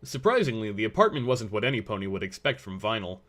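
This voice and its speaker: A man's voice: fairly low pitch, very animated, quick pace, a bit bright. A man reading scripted story narration as the narrator of a fan fiction audio drama.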